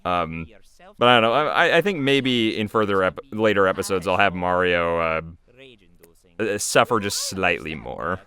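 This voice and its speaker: A man giving informal, off-the-cuff commentary as the creator of an animated show. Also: low voice, normal speed, clean tone. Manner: informal, chuckling, hesitant. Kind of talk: casual commentary.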